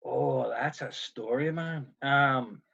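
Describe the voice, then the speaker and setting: A man's voice: medium pitch, slow, creaky, a bit bright, husky, lo-fi sound. A man in his fifties from Northern Ireland with a Northern Irish accent, chatting as a musician guest and telling stories with dry humor. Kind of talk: casual video call chat.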